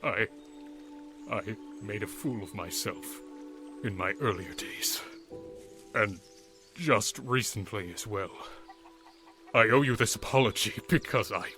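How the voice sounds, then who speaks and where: Casting: male actor for burly older village father, audio drama, low and slow, faltering with remorse. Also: sharp tone, faint music behind. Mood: tearful remorse. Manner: halting, faltering, emotional.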